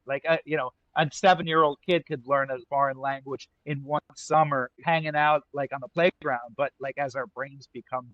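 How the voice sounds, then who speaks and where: An American man around fifty, a restaurant owner talking about his life in a relaxed, rambling way with lots of "like" and restarts. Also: mid-range voice, moderate pace, few pauses, no echo, muffled recording. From United States. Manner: easygoing, lots of likes and restarts. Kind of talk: casual interview conversation.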